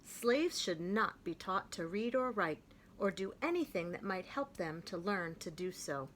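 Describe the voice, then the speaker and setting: Woman reading a kids' book out loud, gentle and expressive, faint hum behind. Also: lowish voice, measured pace. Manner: gentle, expressive, clear storytelling. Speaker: children's story reader. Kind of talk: reading a picture book aloud.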